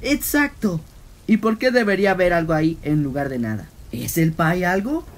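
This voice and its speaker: Casting: male actor as a young cartoon cat crook, theatrical chatterbox, very animated delivery, rain noise behind. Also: high voice, moderate pace. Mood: mock pondering. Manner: theatrical, florid, mock-philosophical. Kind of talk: animated fan comic dub.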